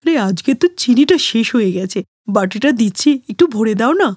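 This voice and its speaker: A woman's voice: a little high, lively intonation, fairly quick, a little mellow, slightly rough, no echo, clean studio sound. A woman voicing a pushy older female neighbour in a cartoon story, wheedling and gushing as she begs for food. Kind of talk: animated moral story cartoon dialogue.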